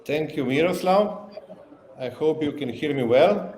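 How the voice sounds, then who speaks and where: A man's voice: a little high, expressive, measured pace, a little mellow, reverberant, slight background noise. A man joining a conference over a video call, speaking earnestly with many fillers. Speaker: presenter. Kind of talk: remote conference talk.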